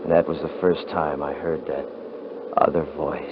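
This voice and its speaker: An actor playing a cocky, rough-talking frontier hunter who also narrates, in a 1950s radio drama. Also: male voice, extremely low pitch, little pitch movement, moderate pace, noisy background. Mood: grim narration. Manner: rough rural grammar, boastful. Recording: muffled vintage radio recording.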